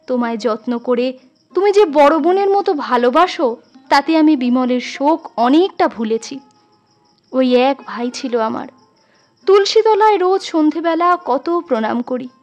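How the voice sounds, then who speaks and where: A woman's voice: high-pitched, lively intonation, unhurried, darkish tone. A woman voicing a doting, sentimental village sister-in-law in a story reading, affectionate and emotional. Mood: tearful, tender.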